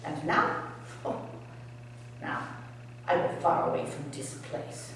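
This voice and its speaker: A woman's voice: sits low, wildly swooping pitch, slow pace, pitched below the performer's own voice, full character voice. A woman playing a defiant Dutch colonist woman accused of witchcraft, scornful and indignant, with clipped non-native grammar. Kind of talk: storytelling performance in character. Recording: echoey room, distant mic, faint hum.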